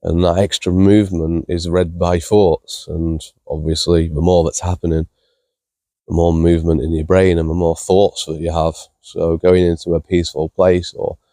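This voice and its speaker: A man with a croaky voice from being ill, explaining spiritual ideas in a hesitant, casual way with many fillers. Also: deep voice, warm tone, dry recording, clean studio sound. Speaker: spiritual vlogger.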